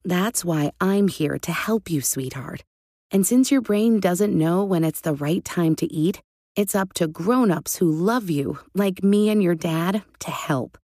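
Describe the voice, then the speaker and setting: A woman voicing a patient, caring mother in a storybook, explaining things in a calm, reassuring way. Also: lowish voice, unhurried, no echo, studio quality.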